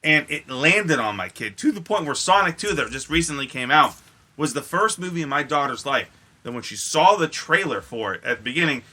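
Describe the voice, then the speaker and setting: Bright, forceful man chatting casually. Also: fairly high pitch, lively intonation, fairly quick. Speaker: podcast co-host. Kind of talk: casual profane chat.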